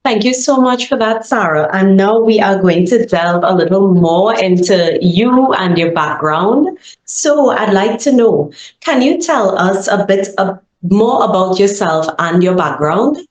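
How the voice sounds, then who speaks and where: A woman's voice: mid-range voice, animated, a little slow, roomy sound. A woman interviewer asking questions in a polite, upbeat way. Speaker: interviewer, communications manager.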